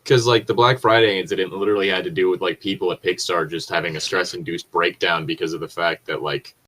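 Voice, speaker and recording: A man's voice: low voice, steady tone, fast, fairly bright tone, a little hiss or hum. A man chatting casually as a podcast co-host, swearing freely, rambling and correcting himself as he goes. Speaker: podcast co-host reading and discussing creepypastas. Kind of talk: casual commentary chat.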